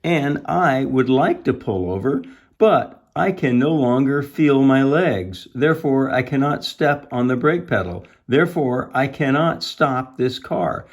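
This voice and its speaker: A man voicing a helpless, formal storybook gentleman driver who speaks in a precise, earnest, deliberate way. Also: medium pitch, animated, voice pushed up. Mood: earnest alarm. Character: helpless, formal middle-class male driver.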